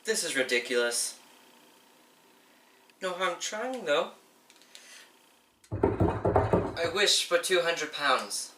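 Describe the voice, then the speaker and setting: A man's voice: fairly high pitch, animated, slow pace. A young man in an amateur school play acting a genial, stubborn middle-aged father and husband. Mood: doubtful. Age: young adult. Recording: echoey, noisy room, distant mic.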